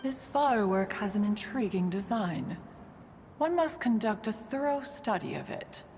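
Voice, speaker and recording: A woman's voice: mid-range voice, animated, measured pace, a little mellow, slight background noise. A woman playing a proud, fussy yet fond immortal adeptus in a video game, speaking in formal, archaic phrases and calling herself "one.". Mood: stately, curious. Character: stately immortal female adeptus, proud, fussy, fondly meddling. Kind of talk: video game character acting. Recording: long reverb, music behind.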